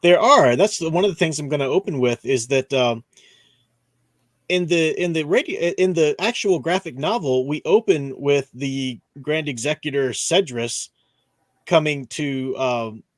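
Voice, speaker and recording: A man's voice: fairly high pitch, unhurried, no room sound. A man hosting a casual discussion stream, rambling, restarting sentences and groping for names as he talks. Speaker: podcast host.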